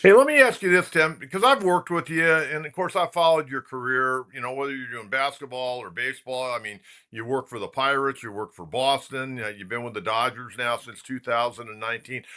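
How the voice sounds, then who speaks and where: Bright, forceful American man hosting sports talk. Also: medium pitch, a little fast, few pauses. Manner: conversational, long lead-ins to questions. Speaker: sports talk show host. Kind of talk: casual sports interview.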